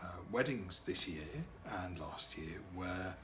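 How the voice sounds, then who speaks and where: A man's voice: sits low, lively intonation, takes it slowly, fairly bright tone, slight background noise. A middle-aged man, a wedding DJ and MC whose first language is French, talking casually about his work with lots of fillers and repeated words.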